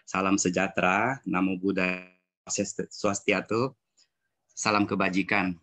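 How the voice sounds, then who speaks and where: A man in his fifties giving a slide presentation over a video call, halting and repeating phrases. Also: low-pitched, level tone, pushed and bright, no echo. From West Kalimantan, Indonesia. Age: 50s. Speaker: student presenter. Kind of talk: slide presentation at an online seminar.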